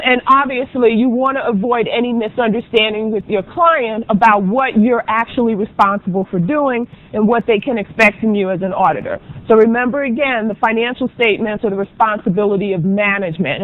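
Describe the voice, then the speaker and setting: Loud chatty woman lecturing a class. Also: mid-range voice. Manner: loud, chatty, brisk teacher with frequent ums. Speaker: auditing professor. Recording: dull lo-fi lecture recording.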